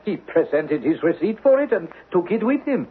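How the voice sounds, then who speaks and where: An actor playing a worried, shifty hotel concierge with an anxious, formal way of speaking in old radio drama style. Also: male voice, high voice, big pitch swings, normal speed, husky, exaggerated voice. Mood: deferential, firm. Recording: tinny, noisy old radio recording.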